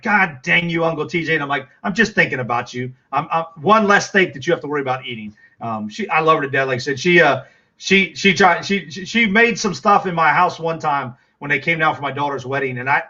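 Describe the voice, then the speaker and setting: Middle-aged Texan man, livestream host, rambling and chatty, slightly high bright voice. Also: muffled recording. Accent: Texas. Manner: rambling, chatty, full of fillers and restarts. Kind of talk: casual livestream chat.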